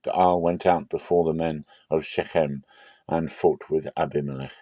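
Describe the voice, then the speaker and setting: A man giving a dramatic scripture reading as narrator, with measured, solemn storytelling. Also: extremely low pitch, steady tone, mellow, dry recording. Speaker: Bible reader.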